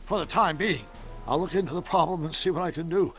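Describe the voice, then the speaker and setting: An actor playing an excitable, eccentric older scientist in a video game, gravelly and theatrical, with wordy, emphatic delivery. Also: male voice, a little high, quick pace, natural voice. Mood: brisk, knowing. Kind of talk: animated video game voice acting. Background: music.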